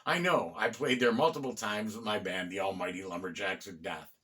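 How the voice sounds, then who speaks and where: A middle-aged American man hosting a conversational, storytelling history talk, phrasing deliberately with pauses and dropping in wry asides. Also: fairly low pitch, sharp tone. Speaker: host and storyteller of a local history series. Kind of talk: conversational bedtime-story style history narration.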